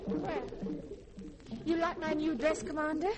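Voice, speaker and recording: High-voiced naive young woman. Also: level tone, a little slow, over loud music. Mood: wounded, coquettish. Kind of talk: 1950s-style radio drama acting. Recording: noisy vintage radio drama recording.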